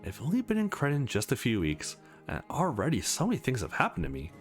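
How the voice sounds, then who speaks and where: A man's voice: mid-range voice, huge pitch swings, quick pace, soft background music. A man voicing an eager, slightly unsure young male protagonist of a visual novel game. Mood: upbeat, musing.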